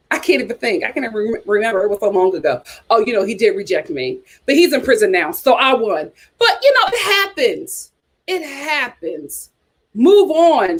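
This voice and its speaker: A woman hosting a casual chat, giving sassy, animated commentary with slang and answering live chat comments. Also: on the high side. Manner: uses casual slang like "child" and "y'all". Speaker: host.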